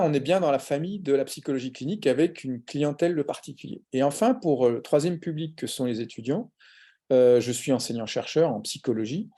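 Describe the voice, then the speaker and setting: Casting: adult male, mellow warm voice, structured explanatory delivery on a panel. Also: mid-range voice, husky. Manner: explanatory, orderly, some fillers. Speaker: psychologist and lecturer. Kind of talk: panel talk about his profession.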